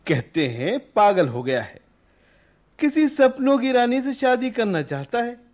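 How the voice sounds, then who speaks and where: A man's voice: extremely high pitch, sing-song, a little slow, a little mellow, heavily acted. An actor playing a mocking, gossiping townsman in a radio play, with exaggerated derisive delivery on a dull recording. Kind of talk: Hindi-Urdu radio play acting.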